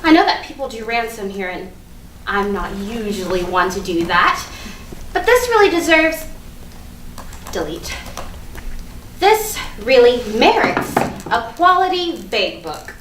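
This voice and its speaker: A woman's voice: fairly high pitch, big pitch swings, a little slow, long pauses, a bit bright. A woman acting a petty, indignant young woman with a snarky, emphatic delivery in a comedic staged reading. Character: petty, indignant young woman stewing over former friend. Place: live staged reading in a room. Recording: roomy, distant mic, faint noise.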